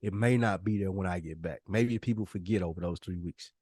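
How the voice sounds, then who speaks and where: A man's voice: deep voice, brisk, a little mellow, breathy edge, no room sound, studio quality. A man hosting a podcast, speaking candidly and informally, with slang and swearing, in casual conversation. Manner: informal slangy talk with profanity. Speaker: podcast host.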